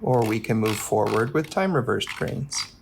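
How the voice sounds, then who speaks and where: A man's voice: medium pitch, expressive, a little slow, gravelly, over loud music. A man narrating a scripted technical tutorial in a methodical, explanatory way, as a product demonstrator.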